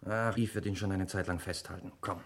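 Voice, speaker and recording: Deep-voiced, smooth schemer. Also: male voice, fairly even intonation, brisk. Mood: conspiratorial. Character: smooth-talking, scheming stepson Max, coolly conspiratorial. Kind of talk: 1960s German radio drama acting. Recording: faintly noisy old radio recording.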